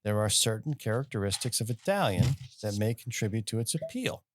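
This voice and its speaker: A man's voice: lowish voice, big pitch swings, brisk, noisy background. A man co-hosting a casual comedy podcast, reading news stories aloud between jokes. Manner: reads news items aloud, breaks into goofy mock-Italian voices.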